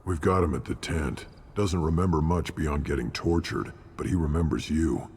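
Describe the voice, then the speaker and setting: A man playing a gruff, battle-hardened alien scout commander in a video game, speaking bluntly in a gravelly voice. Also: rumbling low, moderate pace, a little mellow, heavily acted. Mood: gruff, matter-of-fact. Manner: blunt, matter-of-fact. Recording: long reverb, clear background noise.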